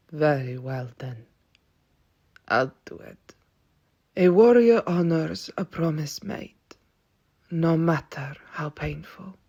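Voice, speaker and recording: Woman playing a husky, creaky ancient vampire aunt. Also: sits low, mellow, muffled recording, exaggerated voice. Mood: grim, resolved. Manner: grave, slow, dramatic delivery. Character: stern, ancient female vampire warrior aunt. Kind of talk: audio roleplay acting.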